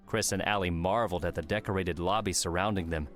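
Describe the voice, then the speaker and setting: A man's voice: low voice, fairly even intonation, fast. A man reading scripted audiobook narration as the narrator of a novel. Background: soft music.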